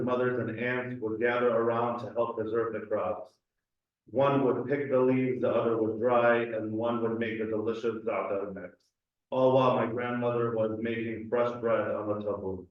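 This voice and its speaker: A young man from Cleveland reads a heartfelt personal essay in an even, measured way. He is recorded from a distance in a very echoey hall. The sound is dull and roomy. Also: medium pitch. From Cleveland, USA. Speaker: community speaker. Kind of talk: reading a personal essay aloud. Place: community event hall.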